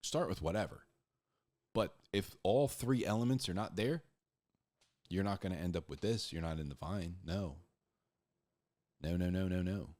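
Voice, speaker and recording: A man's voice: low voice, normal speed, long pauses, darkish tone. A man giving casual, conversational Bible teaching in slangy, informal speech, repeating words for emphasis and acting out little dialogues. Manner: acts out imagined back-and-forth dialogues.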